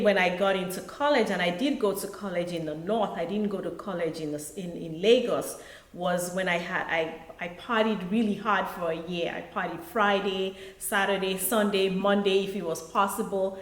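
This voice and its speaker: امرأة من نيجيريا تروي قصتها بهدوء في غرفة ذات صدى. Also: female voice, medium pitch. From Nigeria. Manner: relaxed, reflective storytelling with soft laughs. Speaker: author and pharmacist. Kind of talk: interview guest. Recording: echoey room.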